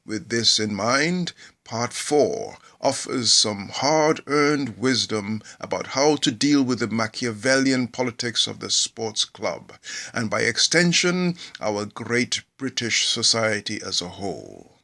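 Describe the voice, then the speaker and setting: A middle-aged man from Nigeria reading a humorous memoir aloud in a measured, theatrical storytelling manner. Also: medium pitch, animated, gravelly, bright, forceful tone. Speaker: actor reading a book aloud. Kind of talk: scripted narration of a humorous memoir.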